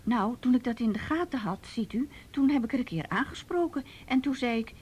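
An actress playing an anxious, well-meaning, gossipy neighbour in a radio play, speaking in a hesitant, confiding and halting way. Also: female voice, on the high side, expressive, darkish tone, slightly rough. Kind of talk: Dutch radio drama dialogue.

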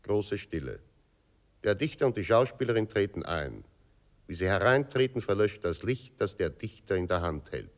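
A man's voice: lowish voice, very animated, moderate pace. A man narrating a radio play, reading scene headings and stage directions in a scripted style.

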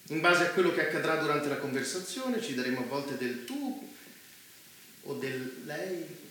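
Male, urbane powerful killer for a stage play: courteous, controlling, precise, with a bright, forceful edge in a heavily echoing room. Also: on the high side, lively intonation, normal speed. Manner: polite but domineering, precise, abruptly probing. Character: urbane, evasive powerful man behind a mass killing. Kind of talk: naturalistic theatre dialogue. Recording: very echoey room, faint noise.

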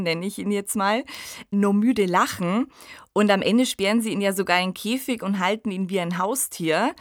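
A woman's voice: medium pitch, no echo, studio quality. A woman co-hosting a conversational discussion about literature, talking in a reflective podcast style. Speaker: podcast co-host discussing radio plays and books.

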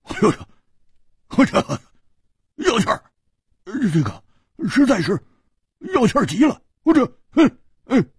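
Man playing a brash stammering swordsman, shrill and wildly swinging, husky edge, storybook tale. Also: huge pitch swings, normal speed, no room sound, studio quality, full character voice. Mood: flustered. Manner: stammers, shouts and laughs in high bursts. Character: boisterous rough male swordsman, loud and stammering.

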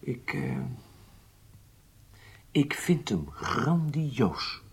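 Undercover recruit, radio drama: keen, deferential ex-soldier with a husky, hugely varied delivery. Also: male voice, medium pitch, very animated, very slow, exaggerated voice. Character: eager, agreeable undercover agent, former soldier. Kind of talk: Dutch radio drama acting.

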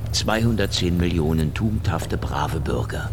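Casting: jaded Soviet-era gumshoe for radio noir, deep creaky voice, laconic sarcasm. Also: male voice, expressive, moderate pace, background noise. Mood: mocking. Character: sardonic, world-weary Soviet-era private detective. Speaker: first-person narrator and lead character. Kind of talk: noir radio drama monologue and dialogue.

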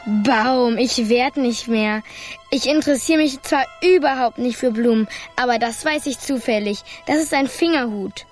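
A woman's voice: a little high, moderate pace, soft background music, lightly acted. A woman playing a feisty, sulky six-year-old girl in a children's radio play, pert, grumbling and sarcastic. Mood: pert, sceptical.

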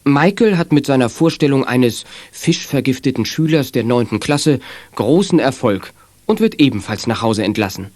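A man narrating a German children's detective radio play in a measured, articulate storytelling manner. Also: medium pitch, lively intonation, a little mellow, dry recording, lightly acted. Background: faint music.

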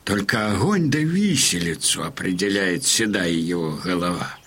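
A man's voice: sits low, animated, a bit bright, husky, heavily acted. An actor playing an old Cossack in a radio play, telling war news to his crony in a folksy, emphatic way. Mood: grave, admiring. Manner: folksy, emphatic, unhurried storytelling. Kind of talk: Russian audio drama acting.

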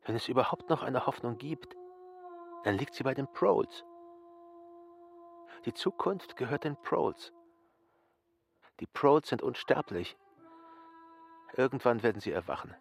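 An actor playing a brooding, quietly hopeful dissident in a radio drama, speaking in a reflective, earnest way. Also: male voice, medium pitch, expressive, measured pace, creaky, long pauses, faint music behind. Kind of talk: German radio drama acting.

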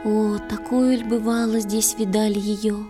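A woman narrating an audio play in verse, slow, solemn and expressive. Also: mid-range voice, music in the background. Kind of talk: scripted dramatic verse narration.

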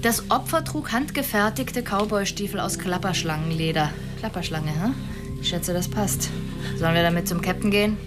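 A woman playing a brisk, persistent police detective in a radio drama, questioning insistently. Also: medium pitch, music in the background, lightly acted. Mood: focused. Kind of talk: German radio drama acting.

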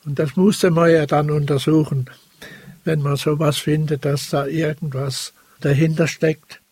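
A man's voice: fairly high pitch, flat delivery, a little slow, a little mellow, husky, no echo. A man casually recalling events in an interview.

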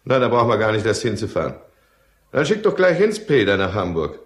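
A man playing an alcoholic businessman husband in a German radio drama, brooding, irritable and quick to justify himself. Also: fairly low pitch, big pitch swings, slightly rough. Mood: evasive, pleading. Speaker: lead character and first-person narrator.

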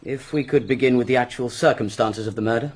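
An actor playing a brisk, self-assured police inspector with a British accent and a clipped, businesslike manner in a radio drama. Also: male voice, medium pitch, lightly acted. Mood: brisk, questioning.

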